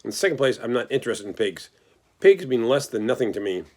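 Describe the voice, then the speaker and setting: A man's voice: mid-range voice, sing-song, quick pace, darkish tone. Stuck-up little lamb, curt and dismissive, man reading it. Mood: snooty. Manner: curt, haughty. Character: snooty young lamb, dismissive.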